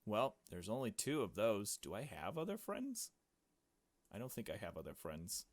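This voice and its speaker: A man's voice: fairly low pitch, wildly swooping pitch, moderate pace, no room sound. A man reading a visual novel aloud and commenting casually in a slangy, informal way. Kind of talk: casual gameplay commentary and narration.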